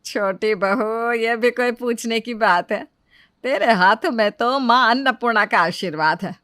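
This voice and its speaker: Woman voicing a bright, matronly older mother-in-law. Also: fairly high pitch, a little slow, no echo, studio quality, soft background music. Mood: fussy, doting. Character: older mother-in-law, fussy, thrifty, fond of flattery. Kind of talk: Hindi cartoon story dialogue.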